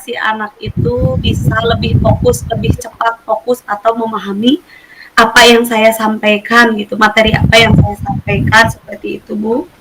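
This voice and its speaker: A woman. Her intonation stays very flat as she talks politely and a little hesitantly, like a teacher in a semi-formal one-to-one coaching session. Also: a little high, moderate pace, noisy background. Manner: polite, hesitant, tag-heavy phrasing.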